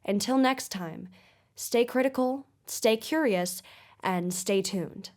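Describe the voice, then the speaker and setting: A woman's voice: on the high side, slow, a little mellow. A woman playing a probing investigative mystery show host in an audio drama, speaking in a polished, formal, inquisitive manner. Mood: intriguing, earnest. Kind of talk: audio-drama broadcast interview and narration.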